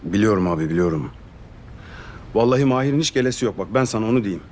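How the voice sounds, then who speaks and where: A man's voice: on the low side, expressive, darkish tone, a little hiss or hum, lightly acted. An actor playing Ferman, an anxious young man who pleads and complains about being his family's underdog. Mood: complaining. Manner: fast, pleading, self-pitying. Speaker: actor in a TV drama. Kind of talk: TV drama acting.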